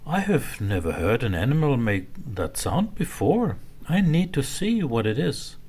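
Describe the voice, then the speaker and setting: A man voicing a curious, kindly young hippo in a children's story, earnest and gently questioning. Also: medium pitch, very animated, a little slow, slightly rough, pitched above the performer's own voice.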